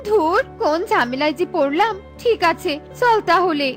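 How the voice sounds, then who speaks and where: A shrill, whiny cartoon bird, voiced by a woman, who is greedy, jealous and quick to run away. Her very high voice wheedles and complains. Bright children's music plays under her. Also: lively intonation. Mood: fearful. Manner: whiny, scheming. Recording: with light background music.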